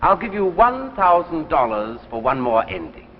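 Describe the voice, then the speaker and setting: An actor playing a smooth, scheming middle-aged writer, coaxing and dismissive, in old radio drama style. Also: male voice, fairly high pitch, very animated, moderate pace. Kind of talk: 1950s radio drama acting. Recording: echoey, noisy, dull old radio recording.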